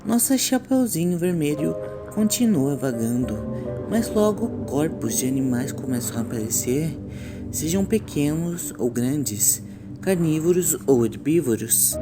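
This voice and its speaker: A woman's voice: low voice, sing-song, a little slow, over loud music. A Brazilian woman hosting a scripted online commentary on horror animation, with a theatrical storytelling manner. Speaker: YouTube host.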